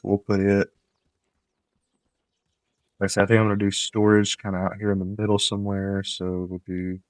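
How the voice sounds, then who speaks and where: A man giving relaxed, rambling commentary on a game he plays, with a slightly nasal voice because he is coming down with a cold. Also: lowish voice, no room sound. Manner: relaxed, rambling, gives tips while playing. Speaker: gameplay commentator. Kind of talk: casual let's-play commentary.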